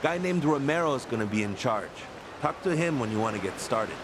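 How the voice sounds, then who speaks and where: A friendly, dutiful volunteer organizer in a game cutscene , acted by a man. He explains things easily in a mid-pitched voice, with clear outdoor town noise behind him. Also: expressive, brisk, natural voice. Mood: informative. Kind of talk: video game voice acting.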